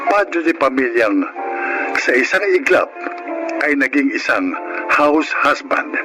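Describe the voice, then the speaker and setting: A man's voice: mid-range voice, sing-song, takes it slowly, rough recording. A man with a Filipino accent narrating and announcing a radio drama in a formal, scripted style. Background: background music.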